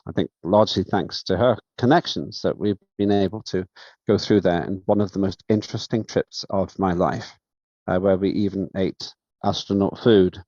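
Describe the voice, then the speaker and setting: A man with a deep, low voice chairs a parliamentary session, speaking in a measured, halting way and pausing often on fillers. His voice comes through a video call microphone. The sound is dull, lo-fi and very dry. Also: a little mellow, no echo. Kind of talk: formal remarks at an online session.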